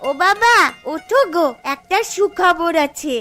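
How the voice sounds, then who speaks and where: A woman voicing an excited little girl in a Bengali moral-story cartoon, drawing out her calls. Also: very high-pitched, slow, soft background music, heavily acted. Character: excited little girl, daughter and granddaughter of the house.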